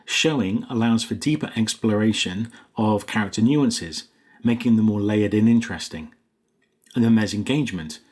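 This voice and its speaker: A man narrating a scripted lesson as a writing coach, in a measured, explanatory way. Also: fairly low pitch, breathy edge.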